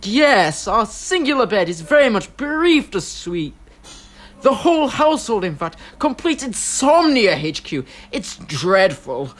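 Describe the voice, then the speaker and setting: A pompous, bickering upper-class husband, voiced by a woman blusters and snaps. The delivery swoops widely in pitch. Amateur audio drama. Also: very animated, measured pace. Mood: blustering. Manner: snappish, blustering.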